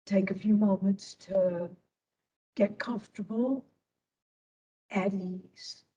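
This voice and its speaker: A woman instructor leading a guided meditation in a soothing manner, with long pauses between short phrases. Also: mid-range voice, steady tone, warm tone, distant mic, lo-fi sound.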